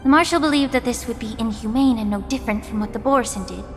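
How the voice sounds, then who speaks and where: Woman playing a poised, higher-voiced fantasy healer. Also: fast talker, lightly acted. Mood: thoughtful. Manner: polite, formal, poised. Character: poised, politely pointed female fantasy healer. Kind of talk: video game cutscene voice acting. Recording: reverberant hall with faint music.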